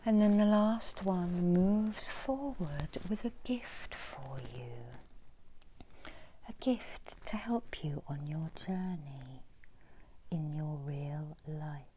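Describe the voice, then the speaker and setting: A middle-aged woman softly whispers an ASMR guided meditation in a breathy, soothing, deliberate way with long pauses. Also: on the low side, lively intonation, slight background noise, rough recording. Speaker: reiki healer guiding a meditation. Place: indoors, small room.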